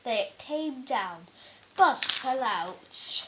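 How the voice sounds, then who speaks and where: A woman reading a children's bedtime story aloud, haltingly, stumbling over words and correcting herself. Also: a little high, expressive, a bit bright, a little hiss or hum, poor audio. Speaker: storybook reader.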